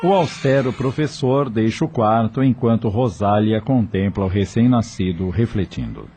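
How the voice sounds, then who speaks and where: A man with a husky voice reading scripted narration for a radio drama. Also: on the low side, lively intonation, normal speed, mellow, slight background noise.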